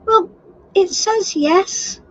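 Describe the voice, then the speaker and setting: Tarot reader, female, hoarse and husky; slow, reassuring delivery, dull lo-fi recording. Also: extremely high pitch. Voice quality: husky, croaky. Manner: unhurried, reassuring, thinking aloud. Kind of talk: live tarot reading.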